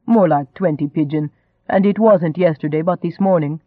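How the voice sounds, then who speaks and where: A man's voice: a little high, moderate pace, no room sound, phone-quality sound, lightly acted. A man voicing a charming young nobleman in an audiobook, a teasing and cajoling rogue who stays unruffled. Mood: amused, coaxing.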